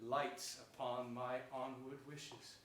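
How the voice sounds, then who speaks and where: A man's voice: medium pitch, little pitch movement, takes it slowly, fairly bright tone. A man playing a scheming, gloating hunchbacked royal usurper in theatrical Shakespearean stage declamation. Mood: triumphant, resolute. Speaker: stage actor. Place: theater stage before an audience. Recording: distant mic in echoey theater, hiss.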